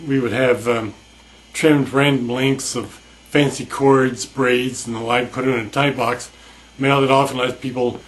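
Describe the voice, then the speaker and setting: A man's voice: mid-range voice, low-quality recording. Older guy telling a story, dry and wry, lots of uhs and restarts. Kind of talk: casual spoken anecdote.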